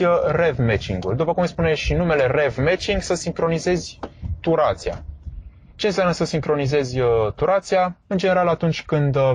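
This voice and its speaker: A man talks calmly from inside a moving car, walking through each step with small pauses and fillers. His pitch sits in the middle, neither high nor low. Faint engine and road noise hums under his voice. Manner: calm, step-by-step explanation with frequent fillers. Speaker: driving instructor vlogger. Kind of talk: explaining while driving.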